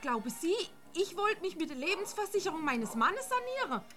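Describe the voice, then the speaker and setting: A woman playing an indignant, excitable wife of a missing man in a radio drama, blunt and exclamatory. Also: very high-pitched, normal speed, faint music behind, full character voice.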